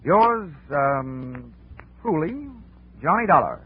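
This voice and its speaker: An actor playing a brash, wry, wisecracking insurance investigator in 1940s hardboiled radio style. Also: male voice, a little high, sing-song, takes it slowly. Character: brash, wisecracking male insurance investigator telling his case. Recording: tinny 1940s radio recording.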